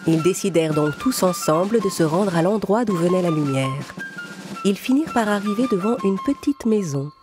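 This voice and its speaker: Lowish-voiced woman narrating a children's tale. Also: expressive, moderate pace. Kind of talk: scripted storybook narration for children. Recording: music clearly behind the voice.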